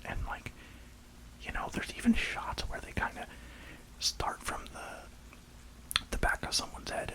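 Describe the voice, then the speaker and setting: A reviewer whispering an enthusiastic, rambling ASMR film review in a breathy, unvoiced voice. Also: low-quality recording. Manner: enthusiastic, rambling, emphatic repetition.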